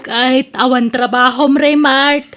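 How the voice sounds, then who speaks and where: A woman's voice: high-pitched, fairly even intonation, measured pace, over loud music. A woman playing an older, pious mother who earnestly scolds and advises her grown son in an Ilocano radio drama, with an Ilocano accent. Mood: reproachful.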